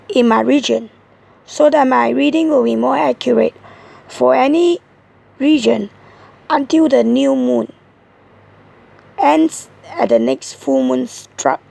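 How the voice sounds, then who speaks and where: High, gravelly, hoarse woman. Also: animated, dark tone. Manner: rambling, halting delivery. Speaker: tarot reader. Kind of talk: casual tarot video monologue.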